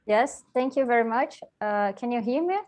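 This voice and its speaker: A woman's voice: on the high side, normal speed, a little mellow. A woman from Brazil with a strong Brazilian Portuguese accent, giving an academic presentation on a video call, with frequent "uh"s and restarts. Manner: hesitant, many filler "uh"s and restarts. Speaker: postdoc researcher presenting.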